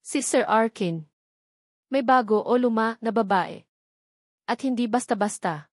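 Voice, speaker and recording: Excitable male office chatterbox played by a female narrator, Filipino accent, breathless and gossipy, audio storybook, dry. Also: fairly high pitch, a little slow, no room sound. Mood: conspiratorial.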